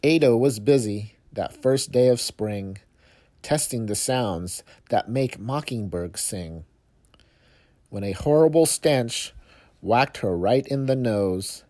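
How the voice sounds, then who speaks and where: Slow, mellow man reading a children's story. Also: mid-range voice, lively intonation. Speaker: storyteller reading a picture book aloud to young children. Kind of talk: expressive children's read-aloud of rhyming verse.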